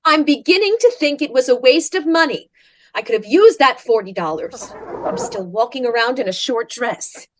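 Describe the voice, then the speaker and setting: A woman's voice: high voice, expressive, normal speed, rough recording. A woman playing a penny-pinching, exasperated wife who needles and complains in an old-time radio comedy. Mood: nagging, exasperated.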